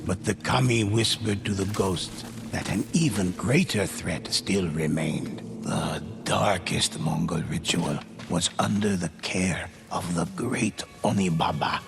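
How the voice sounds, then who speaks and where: Deep gravelly slow male narrator. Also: background noise. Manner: grave, measured, storytelling. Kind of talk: scripted game narration. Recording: narration over loud music.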